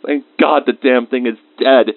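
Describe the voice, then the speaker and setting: A man's voice: medium pitch, fairly even intonation, a little slow, vocal fry, no echo. A man playing a research scientist dictating a confiding, emotionally involved audio diary of lab logs. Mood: outraged, distraught. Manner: confiding, dictated log entries with emotional asides. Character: anxious male research scientist recording lab logs, increasingly shaken. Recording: phone-like thin band-limited sound.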